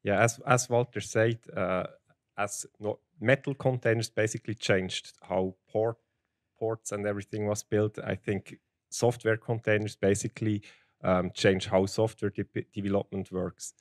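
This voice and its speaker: A man with a Swiss German accent and a fairly low voice explains technical material at a conference. The sound is dry and close, and his delivery is plain and steady. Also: a little slow, no room sound. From Switzerland. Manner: plain, steady, with restarts and "basically". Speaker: conference speaker, field application engineer. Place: large conference hall with audience. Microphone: handheld microphone.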